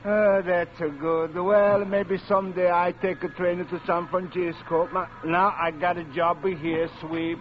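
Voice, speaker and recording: A talkative, nosy immigrant station sweeper with a high voice and foreign accent. His broken English has a simple singsong manner, heard on a muffled, slightly noisy vintage radio drama recording. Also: level tone, unhurried, hardly any pauses. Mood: friendly, chatty. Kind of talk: 1940s radio drama character acting.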